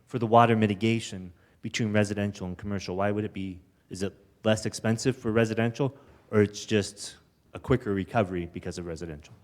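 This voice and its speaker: A man's voice: lowish voice, fast talker, darkish tone. A town board member, a man, asking a plainspoken question as a self-described layman at a meeting. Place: town council chamber.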